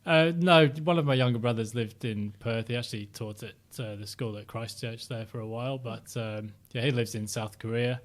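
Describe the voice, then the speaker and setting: A middle-aged man from Sheffield with a softened northern English accent, talking as an interview guest, reflective and full of ums and restarts. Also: mid-range voice, moderate pace, few pauses. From Sheffield, South Yorkshire, England. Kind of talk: casual interview chat.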